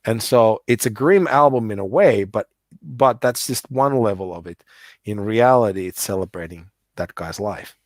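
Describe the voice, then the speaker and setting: Middle-aged man, low pitch, dry close mic; loose, rambling interview talk full of restarts. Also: lively intonation, moderate pace, dry recording. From United States. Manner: rambling, many restarts and repeated words. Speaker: musician guest. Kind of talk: casual interview chat.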